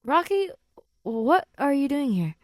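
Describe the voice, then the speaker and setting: A warm-hearted, awkward male teacher in middle age as a woman reads him, easily flustered. He repeats his words, and the recording is dry and close. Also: high voice, very animated, slow pace, dry recording, voice pushed up, heavily acted.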